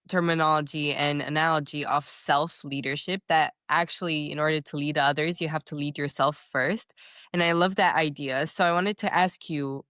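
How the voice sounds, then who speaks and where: A woman hosts a podcast interview, asking curious questions in halting restarts. Her voice is very low and recorded dry and close. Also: a bit bright, no echo. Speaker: podcast host.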